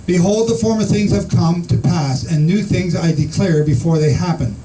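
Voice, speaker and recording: A man's voice: sits high, moderate pace, warm tone, far from the mic, soft background music, low-quality recording. A man teaching an informal Bible study to a small group, reading scripture aloud and asking his listeners questions. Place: room with a small group in the Philippines.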